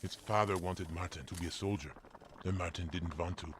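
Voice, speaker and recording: A deep-voiced, kindly village priest from Germany, played by a male actor. He speaks in a gentle, measured, formal way, patiently explaining things as in a family audio drama. There is faint noise behind him. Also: fairly even intonation, natural voice. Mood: warmly explaining.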